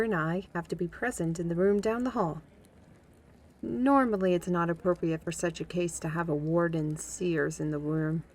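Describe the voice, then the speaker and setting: An actress plays a young woman of a fantasy world. She takes her time, with a wry, guarded and gently teasing way of speaking. It is conversational ASMR roleplay, addressed right to the listener. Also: on the low side, slight background noise. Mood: wry, measured. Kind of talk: scripted roleplay audio acting, ASMR.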